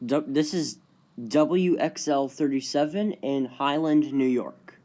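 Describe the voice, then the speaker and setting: A man's voice: fairly high pitch, slow pace, dark tone. A man giving casual, off-the-cuff vlog commentary in a rambling, conversational way. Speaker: vlogger.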